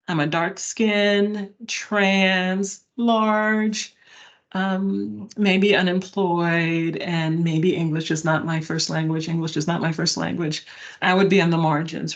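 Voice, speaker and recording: Woman, middle-aged, webinar presenter, emphatic, low-pitched. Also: steady tone, slow pace, lo-fi sound. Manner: conversational, emphatic, addresses audience with "y'all" and "right?".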